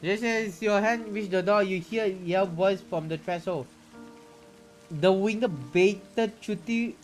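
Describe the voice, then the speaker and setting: Higher-voiced man reading dramatically. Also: slow, soft background music, rough recording. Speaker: streamer. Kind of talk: dramatic read-aloud of a visual novel with casual reactions.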